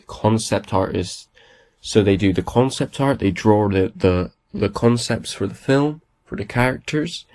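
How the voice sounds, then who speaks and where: Raspy-voiced man with a cold. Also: fairly low pitch, a little mellow. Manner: enthusiastic, rambling with many restarts. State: has a cold. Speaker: podcast host. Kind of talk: casual solo podcast talk.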